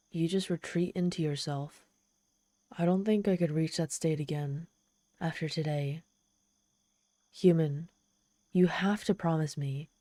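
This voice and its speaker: A woman's voice: low-pitched, dark tone, no room sound. A woman voicing a proud, lonely, trapped female demon in an ASMR roleplay, sardonic and exasperated with a pleading, vulnerable streak. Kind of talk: ASMR roleplay monologue, dramatic storytelling.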